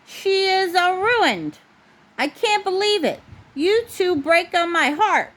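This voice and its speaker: A woman voicing an excitable, heartbroken little male Italian car shopkeeper from a children's storybook, with a put-on Italian accent and dramatic, lamenting delivery. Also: very high-pitched, slow, pitched above the performer's own voice, exaggerated voice. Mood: dismayed.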